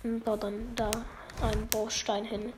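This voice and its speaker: A woman feeling under the weather, talking through a game in a halting, apologetic way and often losing her words. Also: fairly high pitch, level tone, noisy background. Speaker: let's play gamer. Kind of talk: casual gameplay commentary.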